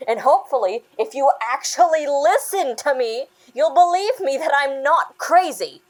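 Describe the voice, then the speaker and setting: A woman playing a shaken, defensive young bank worker giving halting testimony in an audio drama. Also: high voice, expressive. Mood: defiant, insistent. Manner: halting, insistent, stammering. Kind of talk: dramatic audio fiction acting.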